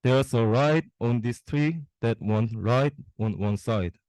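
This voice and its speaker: A man as a sly storybook monster, falsely sweet, coaxing, slow-paced, close dry sound. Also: mid-range voice, darkish tone, dry recording, natural voice, poor audio. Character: sly lying Grinch, falsely sweet to a child.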